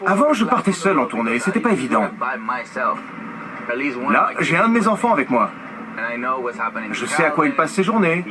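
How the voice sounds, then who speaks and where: A man's voice: on the high side, sing-song, normal speed, pauses often. A middle-aged man dubbing a documentary subject in an earnest, heartfelt voice-over. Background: music.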